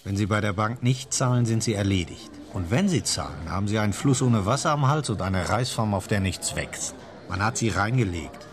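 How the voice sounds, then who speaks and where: A slick intelligence handler in a radio thriller, acted by a man, speaks in a lowish male voice. He is pointed and insinuating, leaning on his informant, with a crowd murmuring behind him. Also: animated, moderate pace, lightly acted. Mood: menacing. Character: slick, coercive British intelligence agent pressuring an informant. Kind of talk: German radio crime drama acting.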